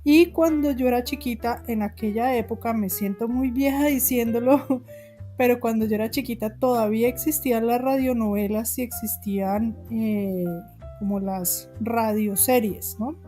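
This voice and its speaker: A woman's voice: fairly high pitch, normal speed, dark tone, soft background music. A Colombian woman in her forties with a Bogotá accent, a guest writer talking casually in an interview, chatty with frequent laughs and fillers. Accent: Bogotá Colombian Spanish. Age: 40s.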